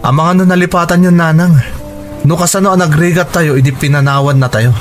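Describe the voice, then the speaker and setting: A man's voice: fairly high pitch, steady tone, fast, soft background music, close to an everyday voice. A man playing a resentful, hurt young adult son in a radio drama, indignant and questioning, with an Ilocano accent. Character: resentful, wounded grown son, unwilling to forgive.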